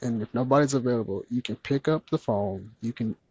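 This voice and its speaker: A man speaking gently and earnestly as a podcast guest, with a soft, soothing voice. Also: medium pitch, brisk, husky, no room sound, lo-fi sound. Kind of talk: candid conversational interview.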